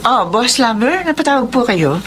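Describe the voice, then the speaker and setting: An actress playing a sly, confident woman who coaxes village girls in a Filipino radio drama, smooth-talking and persuasive. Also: on the high side, sing-song, normal speed, a bit bright. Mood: sly, boastful. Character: sly, coaxing well-off woman recruiter luring village girls. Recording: faint background noise.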